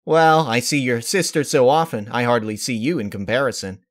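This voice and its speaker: A man's voice: mid-range voice, normal speed, close to an everyday voice. A man voicing a brash, fun-loving grown pony mare in a story reading, with a breezy, teasing manner. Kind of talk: dramatized fan-fiction reading.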